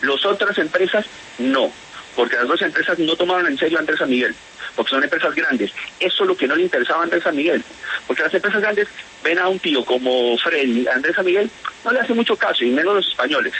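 A man from Spain who speaks with a Bogotá accent, his voice bright and forward. He talks haltingly, restarting and repeating words as he goes. Faint hiss sits under the line. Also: a little high, lively intonation, poor audio. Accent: Bogotá Colombian Spanish. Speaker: interviewee, whistleblower. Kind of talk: phone interview on radio.

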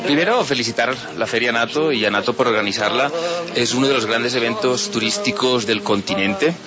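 A man with a Catalan accent, a trade and tourism delegate, answering interview questions in a measured, informative way with frequent hesitations. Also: mid-range voice, over loud music. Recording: muffled radio broadcast.

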